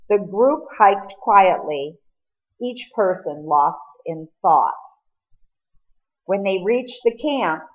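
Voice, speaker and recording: A woman reading a novel aloud as expressive storybook narration. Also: medium pitch, muffled recording. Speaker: audiobook reader.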